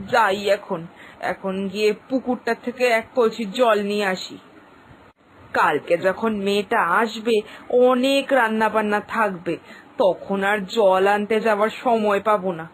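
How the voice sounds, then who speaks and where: A woman's voice: medium pitch, unhurried, close to an everyday voice, rough recording. A woman voicing Boni's mother, a simple, fretful village mother who rambles with emotion, in a cartoon. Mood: fond. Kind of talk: cartoon voice acting.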